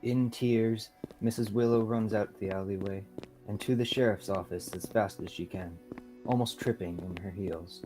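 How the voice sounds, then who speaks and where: A man's voice: on the low side, steady tone, normal speed, over music, low-quality recording. A young man reading scripted narration for a radio theatre play. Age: young adult.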